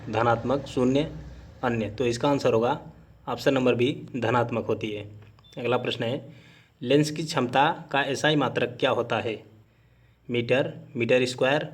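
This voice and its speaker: A man teaching an exam-prep lesson, methodically reading out questions and then giving each answer. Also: medium pitch.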